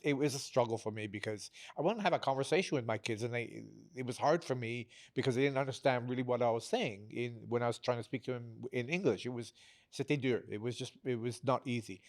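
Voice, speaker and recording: Older man, native French speaker, earnest. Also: mid-range voice. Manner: earnest, searching for words. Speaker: interviewee. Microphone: stand mic close by.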